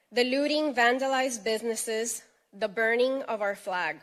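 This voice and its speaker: A middle-aged woman delivers a prepared speech with earnest, personal emphasis. Her voice sits a little high, and she leans hard on key words. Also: fairly bright tone. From United States (Miami). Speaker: speaker at a press event.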